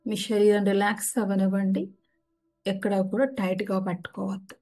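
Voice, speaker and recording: Gentle woman guiding meditation over music. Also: medium pitch, steady tone. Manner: gentle, unhurried step-by-step instructions. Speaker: yoga nidra guide. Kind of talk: guided relaxation meditation instruction. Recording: music behind the voice. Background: background music.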